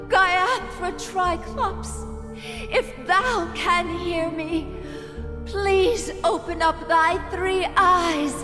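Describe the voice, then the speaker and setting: A woman playing a grieving desert mother in a video game, praying to her goddess in a solemn, dramatic way. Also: high-pitched. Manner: slow, solemn, prayerful. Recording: long reverb under prominent music.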